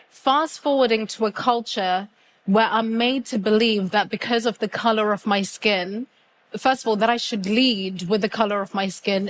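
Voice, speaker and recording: A woman's voice: mid-range voice, slight background noise, rough recording. A young adult woman from Zimbabwe speaking candidly and reflectively as a guest in a live panel conversation on stage. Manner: earnest, candid, searching. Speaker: guest speaker, podcaster and author.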